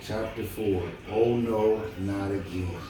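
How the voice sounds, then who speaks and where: A man reading a storybook aloud in an encouraging, conversational manner, pausing to check that the listener follows. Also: lowish voice, steady tone. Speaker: storybook reader. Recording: very echoey, distant mic, music behind.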